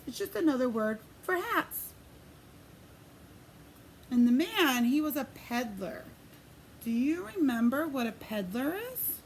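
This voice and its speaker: A woman preschool teacher reading a picture book aloud in an expressive, sing-song way, pausing to put questions to young children. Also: high-pitched, slight background noise, rough recording.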